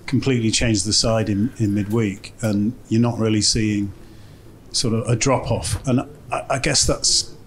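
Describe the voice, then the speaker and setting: Hesitant reporter with a lowish voice. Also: male voice, fairly even intonation, normal speed, slight background noise. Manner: hesitant, fillers. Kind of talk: press conference question.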